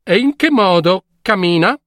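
A man's voice: high voice, animated, normal speed, fairly bright tone, dry recording, studio quality, voice pushed up. An old royal minister from a fairy tale, played by a man, eager and courtly. His voice is raised and swooping, with a wheedling, inquisitive manner. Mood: puzzled.

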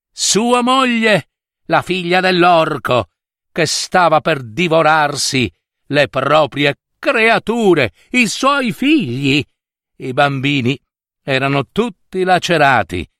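A man narrating a fairy tale theatrically and suspensefully, with lingering pauses. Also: mid-range voice, fairly bright tone, no echo, studio quality. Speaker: storyteller.

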